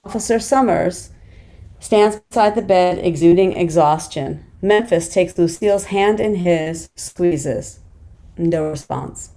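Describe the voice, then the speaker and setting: Woman, script narrator, warm mellow timbre, lower pitch, table read. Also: slow. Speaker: stage direction reader.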